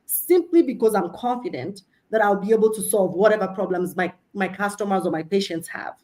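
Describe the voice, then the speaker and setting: A woman's voice: mid-range voice, a little fast. A woman from Kenya with a Kenyan accent, a career coach speaking emphatically on a panel. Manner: emphatic, animated, preaching self-promotion with humor.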